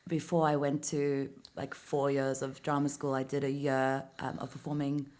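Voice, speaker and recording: A woman with a deeper voice, a voice actress, chatting casually as a podcast guest and saying "like" a lot. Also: flat delivery, normal speed, a little mellow. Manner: chatty, heavy use of "like". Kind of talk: casual chat.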